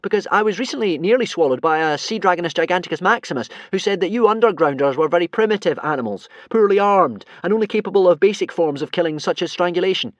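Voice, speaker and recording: A quick-witted young Viking boy pleads and bargains in a raised, strained voice, as a man puts him on. His pitch jumps high and loud, close to the mic with no room sound. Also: expressive, no room sound. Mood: urgent. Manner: high, urgent, shouting.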